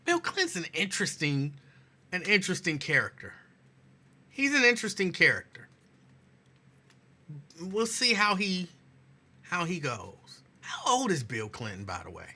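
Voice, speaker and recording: Bright-voiced male host losing his voice. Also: sits high, huge pitch swings, moderate pace, long pauses. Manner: chatty, repeats phrases, talks to listeners directly. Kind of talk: casual talk show chat.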